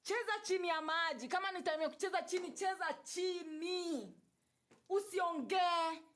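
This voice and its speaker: A woman's voice: very high-pitched, normal speed, a bit bright. A Kenyan woman with a Kenyan accent, hosting a livestream, talking casually and emphatically about energy to her viewers, repeating key phrases. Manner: repetitive, emphatic, switches into Swahili and Luo phrases. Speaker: livestream host. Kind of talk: casual spiritual talk to live viewers.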